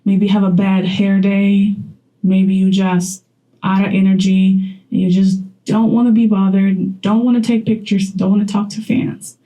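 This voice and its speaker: A woman's voice: medium pitch, little pitch movement, a little slow, dark tone, poor audio. A young woman from Poland talking straight to camera in a chatty vlog, laughing often as she gives advice. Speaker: content creator.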